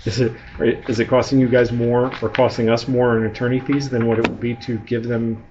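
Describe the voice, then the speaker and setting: A man's voice: medium pitch, flat delivery, fairly quick, darkish tone. A man speaking as a member of the public at a government meeting, pressing officials with persistent, probing questions. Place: indoor public meeting room. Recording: muffled, noisy meeting-room recording.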